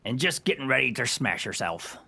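An actor playing a touchy, boastful pirate underling with stage pirate dialect in a comic radio play. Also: male voice, medium pitch, very animated, brisk, gravelly, sharp tone, slightly rough, exaggerated voice. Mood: fierce.

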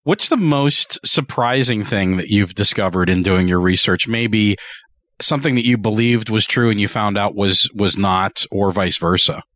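A man's voice: on the low side, normal speed, a bit bright, dry recording, studio quality. A man hosting a casual podcast interview, putting questions and short comments to guests.

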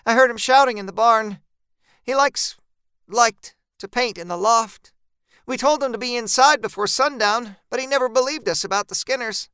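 A man voicing a blunt, resolute rural woman in a dark horror story, urgent and matter-of-fact. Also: very high voice, normal speed, clear, resonant tone, no room sound, studio quality, pitched above the performer's own voice. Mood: grim, urgent. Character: gritty rural woman, blunt, resolute monster-fighting neighbor. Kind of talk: dark horror audiobook character voice.

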